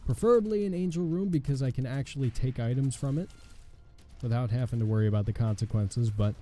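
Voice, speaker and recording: A young man with a hoarse voice commenting casually on his own gameplay, thinking aloud with a wry tone and muttering as he reads. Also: medium pitch, quick pace, mellow. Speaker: gaming channel host. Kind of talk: casual let's play commentary.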